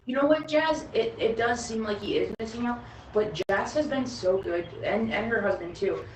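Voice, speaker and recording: A woman's voice: a little high, moderate pace. Late-20s female stream host, casual and chatty, roomy distant sound. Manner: chatty, laughs easily, addresses the viewers. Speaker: livestream host. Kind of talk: casual chat with a friend. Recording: roomy, distant mic with faint background noise.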